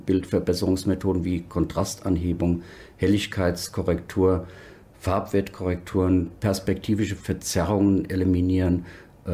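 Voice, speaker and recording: A man's voice: sits low, warm tone. A man, a forensic imaging expert, explaining technical methods in an interview, with frequent hesitant fillers. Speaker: forensic image analysis expert.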